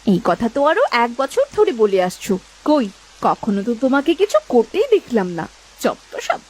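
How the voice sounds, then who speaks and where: A woman's voice: fairly high pitch, breathy edge, low-quality recording. A woman voicing a nagging, bossy village housewife in a cartoon, commanding and practical. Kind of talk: Bengali cartoon dialogue.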